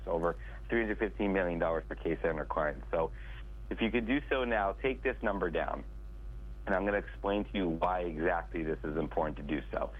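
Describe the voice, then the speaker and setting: A man with a very low voice comes through a thin, tinny phone line. He sounds stuffed up and congested, and he talks straight to the audience with a salesman's pitch. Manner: salesy, direct address to listeners. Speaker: attorney guest.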